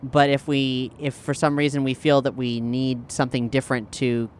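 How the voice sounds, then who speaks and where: A man chatting casually as a tabletop game player, thinking aloud in a halting way. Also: mid-range voice, little pitch movement, slight background noise.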